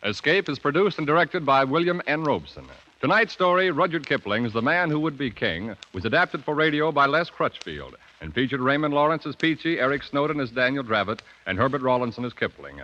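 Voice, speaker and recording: A man's voice: medium pitch, expressive, fairly quick, hardly any pauses. An American man announcing scripted credits in a formal, dramatic 1950s radio style. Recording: muffled old broadcast under loud music. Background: loud music.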